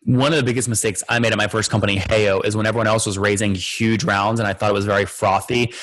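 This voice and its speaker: A male podcast host fires off interview questions at high speed. He is brisk, direct and businesslike, with a slightly low voice. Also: fairly even intonation, fairly bright tone, rough recording.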